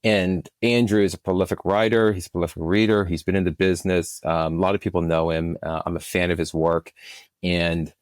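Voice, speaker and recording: Male producer as interview guest, deep voice, dry close sound, conversational and explanatory. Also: flat delivery, fairly quick, no echo. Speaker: interview guest, film and audio drama producer.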